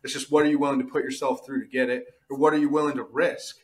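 A man's voice: mid-range voice, level tone, quick pace. A male podcast host with an ordinary mid-range voice. He talks in a casual, slangy way, earnest and motivational, and leans on key phrases by repeating them. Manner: earnest, emphatic, repeats points, slangy with "bro" and "dude".

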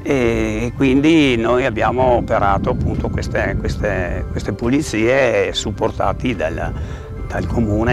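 A man explains things to camera in a halting way, repeating words as he searches for the next. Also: lowish voice, gravelly. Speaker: president of a regional caving federation. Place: outdoors by a rock face.

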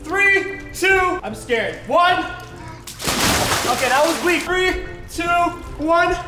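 A man's voice: extremely high pitch, animated, takes it slowly, over loud music. A young man hosting a goofy challenge vlog, joking and giggling as he talks to viewers from a hot tub on an indoor set. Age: young adult. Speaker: YouTube host.

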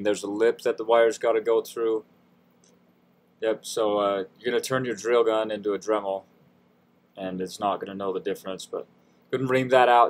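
A man with a fairly low voice talks through a hands-on tutorial as a voice-over. His delivery is informal and folksy, with a self-deprecating, easygoing way of explaining each step. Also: slight background noise. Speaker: DIY tutorial host. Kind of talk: casual how-to voice-over narration.

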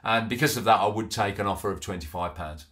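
A man's voice: fairly low pitch, fast, a bit bright. A middle-aged man, chatting casually through an antiques haul with frequent ums and restarts, filmed at a home office desk. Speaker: antiques reseller. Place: small home office, indoors.

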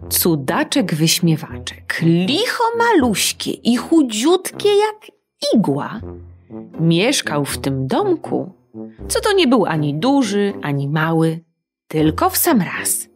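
A woman reading a children's audiobook in an expressive, sing-song storytelling manner. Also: on the low side, takes it slowly, slightly rough, soft background music.

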